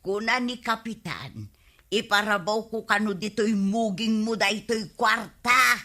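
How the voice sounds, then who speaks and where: A woman's voice: mid-range voice, a little slow, bright, forceful tone. A woman with an Ilocano accent playing a nagging but caring village wife in a radio comedy drama, scolding and exclaiming. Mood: grudging.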